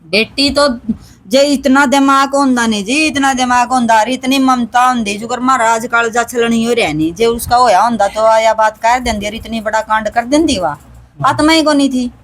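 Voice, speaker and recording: A woman's voice: on the high side, normal speed. A woman with a Haryanvi accent giving unscripted interview testimony in an emphatic, rustic way, repeating her phrases. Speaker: aggrieved relative being interviewed.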